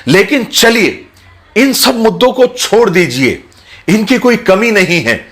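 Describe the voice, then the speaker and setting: Casting: male commentator, noticeably high voice, earnest sermon-like address, measured and emphatic delivery. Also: big pitch swings, fairly bright tone. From India. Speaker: social commentator speaking to his video audience. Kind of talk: earnest explanatory talk, sermon-like.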